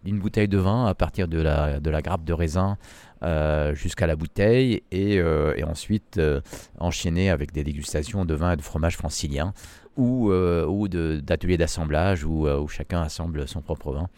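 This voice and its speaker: A man's voice: rumbling low, moderate pace, warm tone, dry recording. A middle-aged man from Lebanon, a winemaker giving a tour of his winery, talking with frequent hesitations. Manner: hesitant, many fillers. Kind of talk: guided tour interview.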